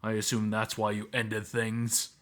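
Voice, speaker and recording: A man playing a calm, blunt anthro student medic in a visual novel, speaking in a measured, clinical way. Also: lowish voice, natural voice. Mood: probing.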